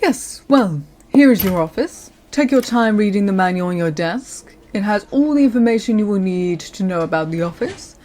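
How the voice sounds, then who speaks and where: There is faint noise behind the voice. An actress plays a senior female lawyer in an audio roleplay, courteous and professional. Her delivery is measured, at a medium pitch, as she addresses a newcomer. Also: lively intonation, warm tone, natural voice. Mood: polite, businesslike.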